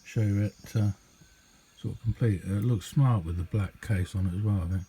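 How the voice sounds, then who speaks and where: A man's voice: fairly low pitch, normal speed, dark tone. A middle-aged or older British man giving a casual, unscripted hobby demonstration in a rambling, hesitant, easygoing way. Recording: clearly noisy amateur home recording.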